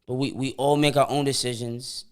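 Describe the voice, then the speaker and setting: A young man in his twenties chats on a podcast in relaxed slang and tells stories with energy. He stumbles and repeats words as he gets going, and he acts out quotes. His voice sits at an ordinary middle pitch for a man. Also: moderate pace, clean tone. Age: 20s. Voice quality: repeats words when starting sentences. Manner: animated storytelling, slangy, often acts out quotes. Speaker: podcast co-host. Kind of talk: casual chat.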